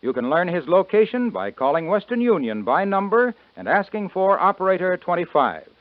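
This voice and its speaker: A man's voice: a little high, no echo. A man announcing a 1950s radio drama and reading a cheerful commercial in a bouncy, salesy manner. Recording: tinny, dry 1950s radio broadcast.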